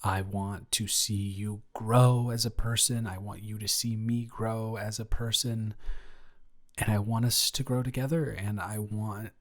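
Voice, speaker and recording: Man performing young male best friend in love, fairly low voice, nervous, stammering, rambling, improvised romance audio drama. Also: fairly even intonation, measured pace. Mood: tender, earnest.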